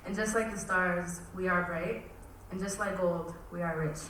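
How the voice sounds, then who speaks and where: A young woman reciting a spoken word poem at a community event, with a measured, solemn delivery. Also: fairly low pitch, steady tone. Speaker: poet performing at a cultural event. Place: large event hall. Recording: echoey hall from far off, faint noise.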